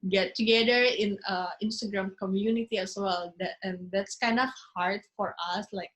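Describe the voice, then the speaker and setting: A woman hosting a podcast interview, asking her guest questions in plain, slightly halting phrasing. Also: medium pitch, steady tone, fairly bright tone, rough recording.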